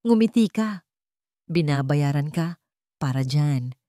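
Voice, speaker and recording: A woman's voice: sits low, animated, slow, warm tone, dry recording. A woman narrator acts out a rich young Filipino groom in an audio drama. He is cold and resentful, speaking in curt commands. The recording is dry and close.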